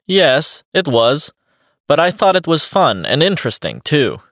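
A man's voice: a little high, big pitch swings, measured pace, a bit bright, no echo, clean studio sound, exaggerated voice. A man playing a friendly young beatboxer, answering interview questions in a plain, evenly paced way for a textbook dialogue. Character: friendly young male beatboxer on a radio show.

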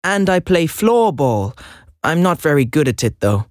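A man's voice: fairly high pitch, big pitch swings, a little slow, no echo. Actor as earnest teen boy, Swedish native, hesitant and chatty, dry close sound. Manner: hesitant, chatty, laughs nervously. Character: earnest 16-year-old boy job applicant. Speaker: job applicant. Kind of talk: scripted listening dialogue.

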